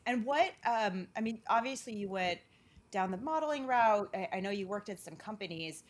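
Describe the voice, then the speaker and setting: A woman's voice: medium pitch, animated, moderate pace. A woman hosting an interview podcast, asking questions in a friendly, slightly hesitant way. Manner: friendly, hesitant, repeats words. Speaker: podcast host.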